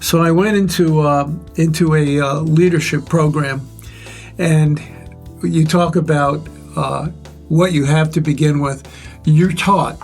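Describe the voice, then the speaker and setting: An older man with a relatively loud voice, reflectively sharing life stories and advice in measured, pause-filled speech. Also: fairly high pitch, steady tone, faint music behind. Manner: measured, pausing often with "uh". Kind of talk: reflective conversational storytelling.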